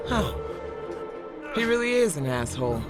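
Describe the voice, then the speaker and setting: A tough engineer and fighter in a video game cutscene. She sounds dry, casual and unimpressed. Music runs clearly behind the voice. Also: deep voice, very animated, normal speed. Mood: dry, guarded.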